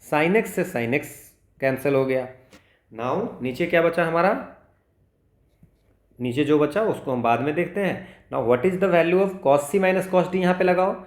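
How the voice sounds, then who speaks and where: A man with an Indian accent tutoring step by step, methodically asking questions and answering them himself. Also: a little high. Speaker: maths tutor. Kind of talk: step-by-step exam problem explanation, Hindi-English mix. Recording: roomy, echoing voice recording.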